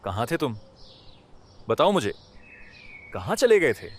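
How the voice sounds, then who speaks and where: Animated, emotional villager man, acted out. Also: medium pitch, unhurried. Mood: astonished, eager. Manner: dramatic, pleading. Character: kind-hearted, emotional poor villager, doting on his rabbit. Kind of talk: Hindi animated moral story dialogue.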